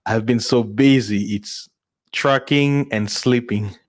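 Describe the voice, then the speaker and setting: A man's voice: mid-range voice, slow pace. A man from Congo chatting casually to his listeners as a streamer and podcast host, in an informal way. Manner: informal, chuckles often.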